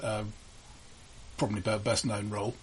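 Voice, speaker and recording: A man co-hosting a casual film discussion, giving information in a halting, dryly humorous way. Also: fairly low pitch, little pitch movement, slight background noise. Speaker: film podcast co-host.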